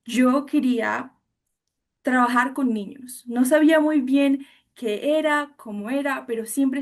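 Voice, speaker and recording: Guest, woman, 30s, Colombian accent, fairly high voice, eager and fluent. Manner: eager, fluent, mixes English terms. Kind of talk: interview.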